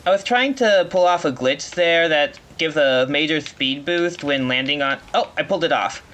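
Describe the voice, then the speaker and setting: A man giving live speedrun commentary, explaining as he plays in a halting way, often rephrasing mid-sentence. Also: a little high, fairly bright tone. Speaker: speedrunner playing at a marathon.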